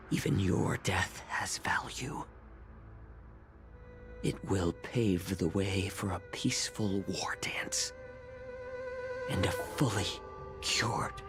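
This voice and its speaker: An actor playing a grieving but resolute fox-man healer in a video game, solemn, quietly bitter and breathy as phrases end. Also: lowish voice. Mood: grim resolve. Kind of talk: dramatic video game monologue.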